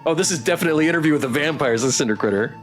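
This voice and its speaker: A man's voice: on the high side, racing. A man streaming a game, chatting casually with his viewers. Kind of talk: casual game livestream chat. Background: music.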